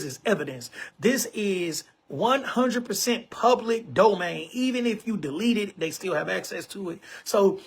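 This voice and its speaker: A man's voice: sits high, breathy edge. A man in his forties hosting an online show, talking casually to camera in an emphatic, repetitive way and often calling listeners bro and man. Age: 40s.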